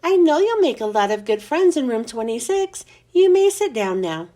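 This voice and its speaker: A kind but firm schoolteacher, put on by a woman reading a storybook aloud. She gives brisk classroom instructions in a high voice that leaps up and down. She sounds warm, but she expects to be obeyed. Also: sing-song. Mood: firm, kindly. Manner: brisk classroom commands, bright and lifted.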